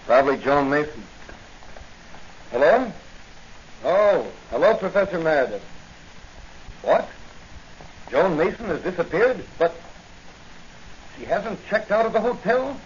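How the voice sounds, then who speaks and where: An actor playing a confident, brisk patrolman and secret masked crime-fighter in a 1940s radio drama, speaking with an inquisitive air. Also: a little high, sing-song. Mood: concerned, urgent. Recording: dull, noisy 1940 radio recording.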